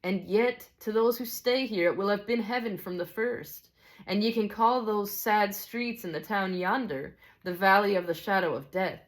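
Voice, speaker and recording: A woman voicing a wise old Scottish teacher, patient and deliberate, with an attempted Scottish accent and old-fashioned phrasing. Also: medium pitch, pitched above the performer's own voice, close to an everyday voice. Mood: patient, instructive.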